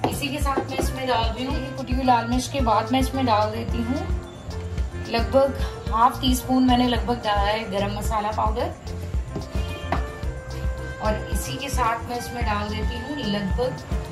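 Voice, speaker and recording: A woman's voice: fairly high pitch, steady tone, a little slow, music in the background. A woman narrating a home recipe step by step in a conversational, explanatory way. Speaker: home cooking vlogger and host.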